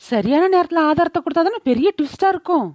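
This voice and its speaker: Woman voicing a high-pitched sassy village woman, dry sound. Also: animated, fast talker, a little mellow, no echo. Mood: smug, teasing. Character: cheeky, theatrical young village woman. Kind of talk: Tamil village comedy cartoon dub.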